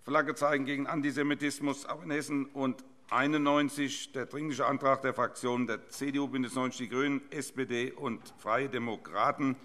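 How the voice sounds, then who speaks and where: Male session chair, sixties, bright forceful voice, formal procedural read-out, reverberant chamber. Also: medium pitch, steady tone, normal speed. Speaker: presiding chair of a parliament session. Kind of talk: formal procedural announcement, reading agenda items. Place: large indoor parliament chamber. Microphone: desk microphone. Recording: reverberant large hall.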